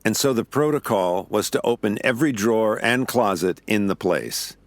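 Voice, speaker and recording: A weary, wry investigator tells his story in a low voice. The delivery is first-person audio drama narration, recorded very dry. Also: lively intonation, moderate pace, no echo. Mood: wry, matter-of-fact. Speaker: narrator and lead actor.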